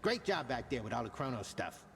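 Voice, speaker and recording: A man's voice: mid-range voice, very animated, brisk, vocal fry, fairly bright tone. A man playing a hearty, grandly jovial commander in a video game, with florid, chummy delivery. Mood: jovial, admiring. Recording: long reverb, faint background noise.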